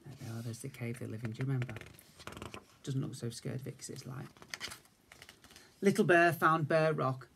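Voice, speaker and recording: A man's voice: mid-range voice, normal speed, gravelly, pauses often, a bit bright, a little hiss or hum. A man in his fifties with an English accent, a teacher reading a story to young children. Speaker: infant school teacher. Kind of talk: children's story read-aloud. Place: indoors, room at home.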